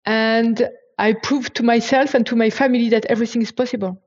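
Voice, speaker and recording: A woman's voice: fairly high pitch, steady tone, brisk. Woman from France, interview guest, hesitant, lots of fillers, muffled lo-fi sound. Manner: hesitant, many fillers and restarts. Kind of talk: interview answers.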